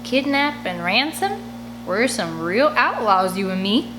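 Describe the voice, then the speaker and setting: A woman's voice: medium pitch, lively intonation, measured pace, background noise, lightly acted. A woman voicing a menacing, bullying backwoods outlaw mother in a read-aloud storybook, with a snarling manner. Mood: mocking, scheming.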